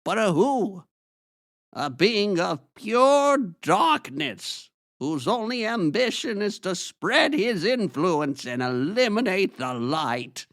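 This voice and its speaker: A man playing a grave, theatrical old wizard in a fantasy drama, speaking in deliberate pronouncements. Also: high voice, very animated, a bit bright, no room sound, clean studio sound, heavily acted. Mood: grave, foreboding. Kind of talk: fantasy audio drama acting.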